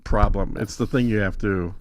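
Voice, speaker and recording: Male podcast guest, low voice, very dry sound, informal walk-through, thinking out loud. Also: moderate pace, no echo. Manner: informal, off-the-cuff, thinking aloud. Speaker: podcast guest demonstrating an app. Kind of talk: casual tutorial chat.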